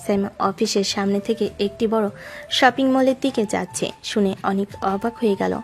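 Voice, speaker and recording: A woman's voice: mid-range voice, fairly quick. A woman narrating a scripted romantic story in a dramatic storytelling style. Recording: music behind the voice.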